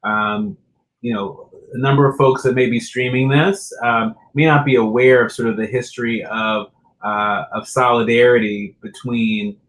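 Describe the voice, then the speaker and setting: A man moderating a panel chat who speaks haltingly and fills his pauses with frequent ums and uhs. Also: mid-range voice, fairly even intonation, a bit bright, poor audio. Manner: halting, many uh and um fillers.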